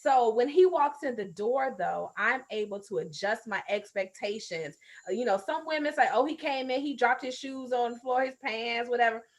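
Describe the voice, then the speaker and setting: Higher-pitched woman, encouraging coach. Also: moderate pace, hardly any pauses. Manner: animated, encouraging, colloquial, acting out little quotes. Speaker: guest organizational coach. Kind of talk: conversational interview advice.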